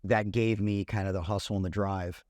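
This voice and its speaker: A low-voiced man in his thirties chats as an interview guest, relaxed and easygoing. He often says "you know" and "man". The recording is dry and close, with no room sound. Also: moderate pace, no room sound. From Puerto Rican family, raised in California. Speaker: guest, celebrity content creator. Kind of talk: casual interview chat.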